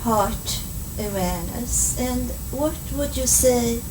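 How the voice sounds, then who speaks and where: A woman asking questions at a press conference, hesitant and pausing often with fillers. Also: on the high side. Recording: distant echoey mic with clear noise.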